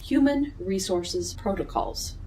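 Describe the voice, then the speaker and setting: A woman's voice: mid-range voice, animated, slow, warm tone, distant mic, rough recording. A woman playing an officious, literal robot assistant in a sci-fi audio drama, formal and prone to glitches, with some background noise. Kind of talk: science fiction audio drama.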